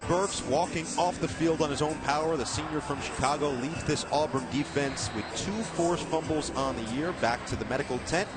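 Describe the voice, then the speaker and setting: Guy doing football play-by-play, strong booming voice, slightly high, music blaring behind. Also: normal speed, hardly any pauses. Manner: strong, projecting, excitable on big plays. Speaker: play-by-play announcer. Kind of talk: live football play-by-play commentary. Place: stadium broadcast booth.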